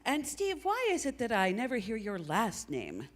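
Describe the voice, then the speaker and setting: A woman's voice: fairly high pitch, wildly swooping pitch, moderate pace, a little hiss or hum. A woman playing a politely skeptical talk show interviewer in a live comedy sketch, with a dry edge. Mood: dryly skeptical. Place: recreation center hall, live stage performance.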